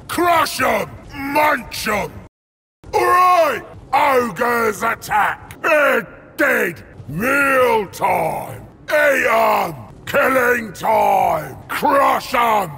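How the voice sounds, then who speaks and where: Bellowing gravelly ogre brute. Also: male voice, very high-pitched, lively intonation, drawn-out words, bright, forceful tone, raised voice, exaggerated voice. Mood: bloodthirsty, aggressive. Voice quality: gruff, gravelly. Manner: bellowing short blunt war cries. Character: huge, brutish, ravenous ogre warrior, male. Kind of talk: video game unit battle barks.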